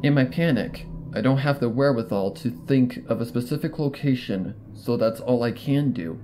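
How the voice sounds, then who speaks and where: A man's voice: medium pitch, moderate pace, dark tone, a little hiss or hum. A man reading a scripted horror story as a dramatic first-person narrator. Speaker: fan fiction narrator.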